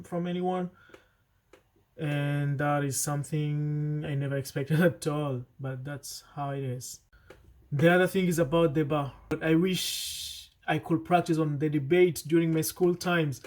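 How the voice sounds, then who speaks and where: A man's voice: on the high side, a little mellow. A young man talking casually to camera, earnest and chatty, often restarting his sentences and adding asides. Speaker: vlogger. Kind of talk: casual vlog advice to camera.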